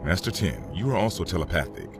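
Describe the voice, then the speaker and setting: A man voices a stern, commanding warrior monk in a comic dub. His deep voice is authoritative and expressive, with music playing behind it. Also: creaky, exaggerated voice. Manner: authoritative, deliberate. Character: stern, commanding male Jedi master. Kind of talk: comic dub acting and narration.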